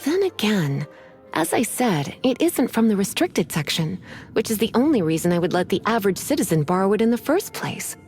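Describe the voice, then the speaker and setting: A woman voicing a languid, teasing adult librarian mage in a video game, speaking in a slow, drawling way. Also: mid-range voice, very animated, darkish tone. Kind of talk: game voice acting. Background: faint game music.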